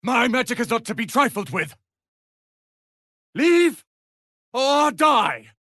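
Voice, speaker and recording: An actor playing a proud, bitter young tiefling wizard in a video game, speaking in a haughty, theatrical, sardonic way. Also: male voice, very high-pitched, sing-song, slow, pushed and bright, husky, dry recording, clean studio sound, heavily acted. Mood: defiant. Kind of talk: video game character acting.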